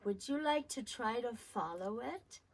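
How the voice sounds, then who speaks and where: A hoarse older woman voicing a kind older woman who runs a nursery, in a story she tells. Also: fairly high pitch, expressive, unhurried, poor audio. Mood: encouraging. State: hoarse, crackly voice.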